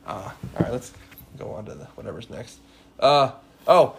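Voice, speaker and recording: Excitable young man, casual podcast chat. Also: mid-range voice, normal speed, creaky. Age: 20s. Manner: excitable, says "bro" a lot. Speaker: podcast co-host.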